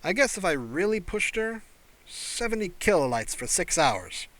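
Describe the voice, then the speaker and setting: Guy reading as a confident woman test pilot, playful n proud, pitch jumps up on phrases, audiobook. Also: big pitch swings, moderate pace, fairly bright tone. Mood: proud, enthusiastic.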